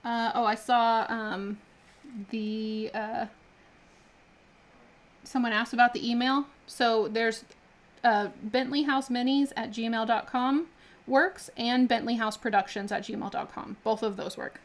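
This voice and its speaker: A woman's voice: a little high, fairly even intonation, slow pace. A woman chatting casually while she builds miniatures on a live stream, laughing often and talking with many fillers and restarts. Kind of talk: casual chat while crafting.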